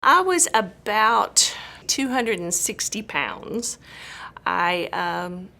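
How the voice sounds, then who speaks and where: A woman's voice: mid-range voice, sing-song, very slow, creaky, sharp tone, slight background noise. A woman in her fifties giving a casual patient testimonial. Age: 50s. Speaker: surgery patient.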